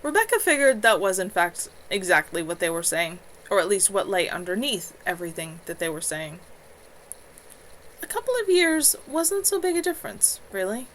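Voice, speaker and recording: A woman reading her own novel aloud from home, telling the story with expression. Her voice is bright and swings widely in pitch, with a faint hiss behind it. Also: very animated, moderate pace.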